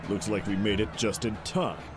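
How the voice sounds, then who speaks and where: A stern, gruff soldier in an audiobook, acted out by a male narrator, speaks in a low register. He is blunt and commanding, a grudgingly respectful rival, with music playing underneath. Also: fairly even intonation, normal speed, lightly acted. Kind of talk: audiobook character dialogue.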